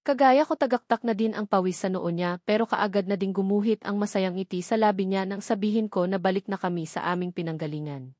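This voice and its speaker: A woman's voice: medium pitch, brisk, a little mellow, no echo, clean studio sound. A woman with a Filipino accent reading a first-person story as a scripted audiobook narration.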